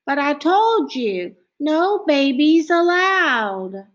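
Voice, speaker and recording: Bossy storybook schoolgirl, played by a woman, high and emphatic, a taunting show-off. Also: very animated, very slow, exaggerated voice. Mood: defensive taunting.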